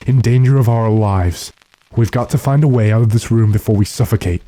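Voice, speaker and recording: A man playing an earnest, determined gentleman who gives commands dramatically, in the manner of an old radio drama. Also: lowish voice, a little fast, close to an everyday voice. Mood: urgent. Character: urgent, determined gentleman trapped with his manservant.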